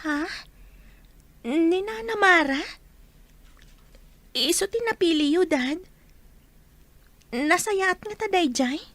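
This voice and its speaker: Woman acting a very high-pitched, polite schoolgirl. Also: expressive, takes it slowly, pauses often, fairly bright tone, no room sound, exaggerated voice. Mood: curious, respectful. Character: sweet, polite, modest teenage schoolgirl daughter. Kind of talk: audio drama acting.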